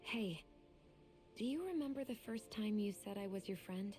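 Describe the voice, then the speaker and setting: A woman's voice: mid-range voice, animated, normal speed, dark tone, close to an everyday voice. An actress playing a guarded but vulnerable young woman in a fantasy video game, speaking sincerely and confessionally. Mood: wistful. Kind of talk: video game English voice acting. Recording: music behind the voice. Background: game music.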